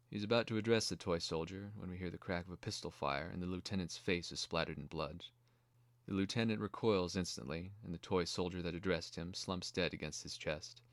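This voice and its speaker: A man narrating a screenplay as a scripted dramatic reading, in a measured way. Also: low voice, dry recording.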